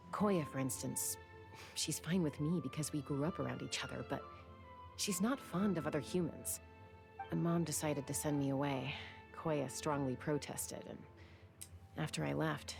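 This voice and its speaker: An actress playing a composed, wistful young woman in a video game, speaking in a measured, reflective way. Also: sits low, gravelly. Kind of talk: video game dialogue acting.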